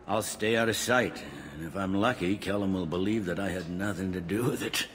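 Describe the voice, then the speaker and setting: An actor playing a weary, pragmatic middle-aged police captain in a video game, plain-spoken and matter-of-fact. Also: male voice, low-pitched, brisk, fairly bright tone, big-room sound, a little hiss or hum. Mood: resigned, brisk.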